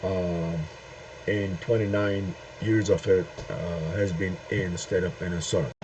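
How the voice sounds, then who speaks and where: A middle-aged man from Turkey, speaking a halting opinion monologue to camera with many pauses and "uh"s. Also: low-pitched, steady tone. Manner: halting, searching for words with frequent "uh" and "um" pauses. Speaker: video host. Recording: dull lo-fi sound with faint noise.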